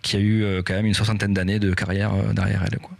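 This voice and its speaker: A man co-hosting a music show, presenting an artist from his notes, halting with many fillers. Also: on the low side, flat delivery, creaky, slight background noise. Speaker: radio music show co-host. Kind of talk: casual presentation reading from notes.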